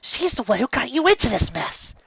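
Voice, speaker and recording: A worried young mare, as a male reader puts her on, anxious and protective, stumbling over her words. The voice sits a little raised and bright, heard through a dull, dry home recording. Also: fairly high pitch, very animated, a little fast, gravelly, husky, dry recording, pitched above the performer's own voice, exaggerated voice. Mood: flustered. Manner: flustered, stammering and anxious.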